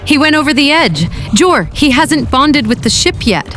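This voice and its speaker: A woman's voice: high voice, sing-song, normal speed. A woman playing an anxious, caring mother in a motion comic, urgent and protective. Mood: urgent, worried. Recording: music behind the voice.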